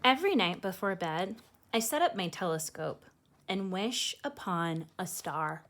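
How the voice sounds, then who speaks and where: A woman voicing an excited, confident little girl who loves space, in an animated storybook read-aloud. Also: on the low side, a little slow. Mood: proud, dreamy.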